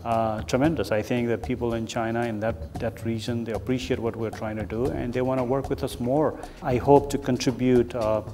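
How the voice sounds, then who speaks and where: A man's voice: mid-range voice, little pitch movement, brisk, hardly any pauses, over music. Earnest man from Nepal, roomy. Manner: earnest, explanatory, frequent fillers. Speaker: research professor. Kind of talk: documentary interview. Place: large open office with windows. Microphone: lapel mic.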